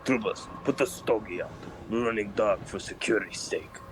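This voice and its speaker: A man playing a gruff Old West soldier guarding a train in a comic dub, with folksy, urgent speech. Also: fairly low pitch, normal speed, background noise, natural voice. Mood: wary. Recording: voice under background music.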